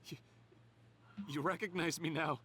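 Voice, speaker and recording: A man voicing a bitter, desperate outcast son in a video game drama, halting and theatrical. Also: a little high, fairly even intonation, bright, forceful tone, slight background noise. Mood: anguished pleading. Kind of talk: scripted video game dialogue.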